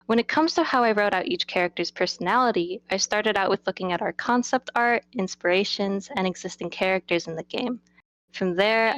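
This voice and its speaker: A woman's voice: mid-range voice, no room sound. A woman game developer and designer answering Q&A questions, partly reading from prepared notes. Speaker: game developer and character artist in a Q&A.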